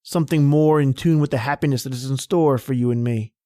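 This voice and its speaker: A man's voice: medium pitch, brisk, dark tone, close to an everyday voice. A man playing a charming, bold young suitor in an old-time radio drama, talking in a gallant, flowery way. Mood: charming, eager.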